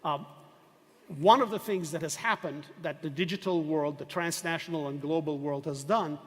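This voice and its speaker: An older man lectures in a discursive, emphatic way and often poses rhetorical questions to his audience. His voice sits a little high for a man. The sound carries a long reverb from a lecture hall. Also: normal speed. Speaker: professor lecturing. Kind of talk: university lecture.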